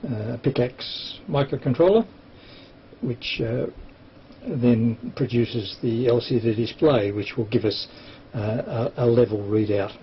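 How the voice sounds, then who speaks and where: A man's voice: medium pitch, takes it slowly. An Australian man giving an unscripted technical demonstration, explaining things in a hesitant, matter-of-fact way. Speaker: amateur television operator and presenter. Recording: dull lo-fi sound with clear hiss.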